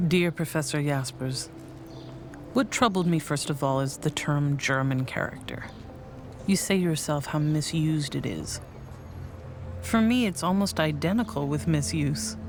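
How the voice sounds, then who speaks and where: A young scholar writing letters. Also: female voice, deep voice. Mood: firm. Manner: measured, formal, thoughtful. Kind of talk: documentary letter reading. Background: faint music.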